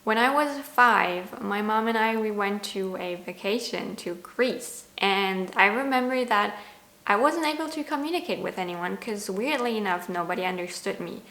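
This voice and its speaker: A woman's voice: medium pitch, animated, moderate pace, a bit bright, echoey room, rough recording. A woman in her twenties from Germany with a German accent, chatting candidly and self-deprecatingly to camera about learning languages. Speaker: language vlogger. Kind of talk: casual talking-to-camera vlog.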